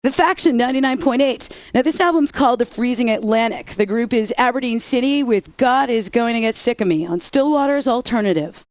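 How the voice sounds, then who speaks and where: A woman's voice: on the high side, brisk, few pauses, no room sound. A woman radio DJ with sassy, playful patter between songs.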